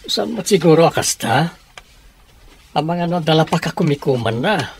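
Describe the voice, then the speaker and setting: A man playing a folksy, chatty middle-aged father and shopkeeper in a radio drama, with a husky voice. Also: fairly high pitch, animated, moderate pace, a little hiss or hum. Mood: gentle. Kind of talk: Ilocano radio drama acting.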